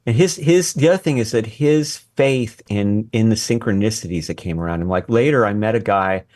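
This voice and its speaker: A man's voice: lowish voice, normal speed, darkish tone. A man talking as an interview guest, telling a personal story conversationally and acting out bits of remembered dialogue. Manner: acts out quoted dialogue while reminiscing. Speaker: interview guest, former research assistant and scholar. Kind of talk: animated anecdotal storytelling in conversation.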